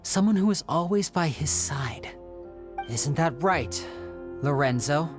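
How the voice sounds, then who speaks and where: Clever young male stage magician: game role, lowish for a woman, measured showman's delivery, music behind. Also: lively intonation. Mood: accusing. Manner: measured, explanatory, theatrical. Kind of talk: video game character acting.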